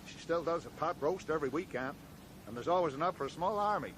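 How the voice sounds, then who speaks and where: An actor playing a kindly, middle-aged American small-town sheriff in a radio drama, speaking in a folksy, hesitant way. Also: male voice, on the high side, expressive, fast talker, a bit bright. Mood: genial, hospitable. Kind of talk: 1980s radio drama acting. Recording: noisy 1980s radio drama recording.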